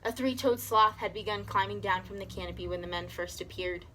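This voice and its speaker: A woman's voice: mid-range voice, fairly quick, fairly bright tone, low-quality recording. A woman reading a children's story aloud expressively, as a teacher does. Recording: clear background noise.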